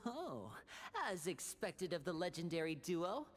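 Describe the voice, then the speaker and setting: Composed, low-pitched female agent. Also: very animated, unhurried. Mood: measured, musing. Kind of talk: video game voice acting.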